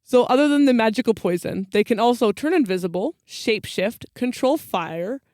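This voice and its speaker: A woman's voice: on the high side, lively intonation, normal speed, a little mellow, no echo, studio quality. A woman co-hosting a podcast, explaining folklore in a casual, chatty way.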